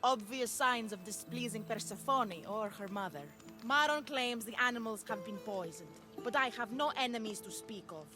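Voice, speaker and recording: A bitter woman who owns a farm voiced by an actress for a game. Her voice sits slightly high and is full of pious complaint. Music plays clearly behind her. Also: normal speed, hardly any pauses, natural voice. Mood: scornful, pious. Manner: indignant, complaining, self-righteous. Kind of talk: video game cutscene acting.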